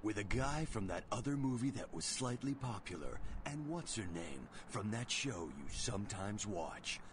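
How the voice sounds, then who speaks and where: A man playing a grave, portentous movie trailer announcer in a game's parody radio spot. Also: fairly low pitch. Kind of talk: parody film trailer narration. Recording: trailer with noisy background.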